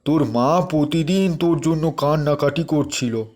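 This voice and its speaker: A man voicing a gentle old village father. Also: a little high, normal speed, natural voice. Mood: earnest. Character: kindly elderly village father, gentle and caring. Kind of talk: cartoon dubbing.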